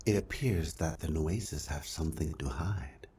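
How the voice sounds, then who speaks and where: Low, solemn machine guide. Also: male voice. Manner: formal, measured. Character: calm, solemn machine guide briefing a warrior. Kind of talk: scripted game mission briefing. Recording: loud background noise and ambience.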